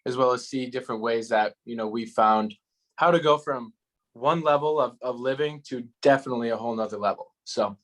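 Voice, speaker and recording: A man's voice: medium pitch, brisk, a bit bright. Fields: man, upbeat casual interviewer. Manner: upbeat, friendly interviewer with fillers like "right on". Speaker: host.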